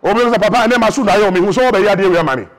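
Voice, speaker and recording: A man's voice: high-pitched, rapid-fire, crowd noise. A Ghanaian man in his thirties, a prophet explaining spiritual matters emphatically in an interview, recorded on a lapel mic indoors. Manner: emphatic, gestures widely, mixes Twi and English. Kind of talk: animated interview talk. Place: indoor church hall set with flowers.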